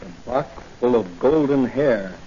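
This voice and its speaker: A muffled 1940 radio recording with light hiss. An actor plays a decisive police hero in a mid-pitched male voice, clipped and matter-of-fact. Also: lively intonation, a little slow, mellow, lightly acted. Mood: determined. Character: confident, brisk crime-fighting police officer hero. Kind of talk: 1940s radio acting.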